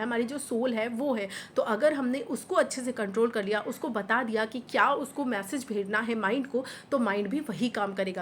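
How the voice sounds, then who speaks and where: A young woman with a hoarse voice from a sore throat, giving earnest, encouraging study advice to the camera as a housewife vlogger, mixing English words into her Hindi. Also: a little high, slight background noise. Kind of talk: motivational talk to camera.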